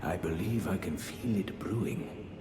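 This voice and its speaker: An actor playing a serene, unsettling scholarly old count in a fantasy game, genteel and theatrical, savouring ornate words. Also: male voice, low voice, measured pace, echoey room, music in the background. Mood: serene, portentous. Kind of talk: scripted video game drama, ornate formal speech.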